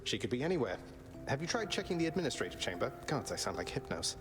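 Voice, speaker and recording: An actor playing a cocky young prince of the gods in a video game, with a wry, nonchalant manner. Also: male voice, medium pitch, big pitch swings, quick pace, lightly acted. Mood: light, cheeky. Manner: wry, nonchalant, politely cheeky. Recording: loud music behind the voice. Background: loud game music.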